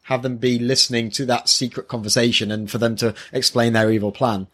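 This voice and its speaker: A man's voice: mid-range voice, little pitch movement, a little fast, a bit bright. A man giving his casual impressions as a co-host, hesitant and searching for words, recorded on a built-in microphone. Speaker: podcast co-host, first-time manga reader. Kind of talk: casual review chat.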